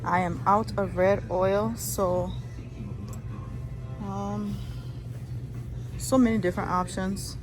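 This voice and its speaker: A woman's voice: mid-range voice, steady tone, slow, long pauses. A woman from Liberia chatting casually to her viewers while shopping in a store, often calling them "y'all". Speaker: family vlogger. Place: inside a large store. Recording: noisy store background.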